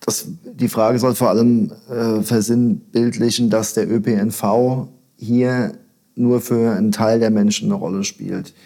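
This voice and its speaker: Male interviewer, low mellow voice, conversational. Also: fairly even intonation, unhurried, vocal fry. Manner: conversational, brief affirmations. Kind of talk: local news interview.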